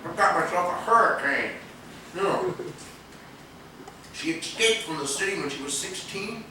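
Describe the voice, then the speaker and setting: An actor playing a brash, joking young husband and buddy in a stage play, slangy and loose. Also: male voice, sits high, expressive, moderate pace. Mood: boastful, admiring. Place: theatre stage, videotaped live from a distance. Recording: echoey noisy theatre hall, distant camera mic.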